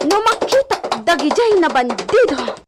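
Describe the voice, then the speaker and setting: A woman's voice: very high voice, big pitch swings, moderate pace, very noisy. An actress playing a determined, anxious young rancher lady in a radio drama. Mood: resolute. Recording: loud music under the voice.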